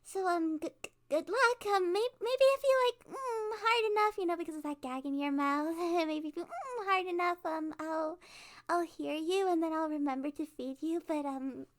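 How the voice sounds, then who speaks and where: A woman's voice: very high voice, pitched above the performer's own voice. A woman playing a cute, awkward, cheerfully menacing kidnapper girl in a rambling, playful ASMR roleplay monologue. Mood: awkward, cheerful menace. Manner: rambling, halting, giggly, playful.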